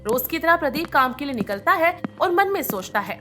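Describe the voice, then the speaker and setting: High, lively woman narrating a story. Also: fairly quick, faint music behind. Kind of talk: scripted narration of a moral bedtime story.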